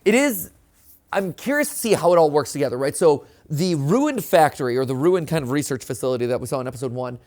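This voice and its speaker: A man hosting a reaction show, theorizing casually in an animated, conversational way and often checking in with a tag question. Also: on the high side, fast. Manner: animated, conversational, often ends points with "right?".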